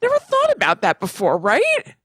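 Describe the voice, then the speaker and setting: Animated, higher-voiced woman chatting. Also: normal speed, slightly rough, no room sound, studio quality. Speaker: podcast co-host. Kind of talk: casual comedic chat.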